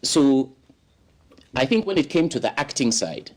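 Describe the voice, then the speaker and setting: A man's voice: medium pitch, a little slow, slight background noise. A man from Ghana, a guest in a studio interview, telling long stories in an expansive way full of "you know"s. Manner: expansive, animated storytelling with many "you know"s.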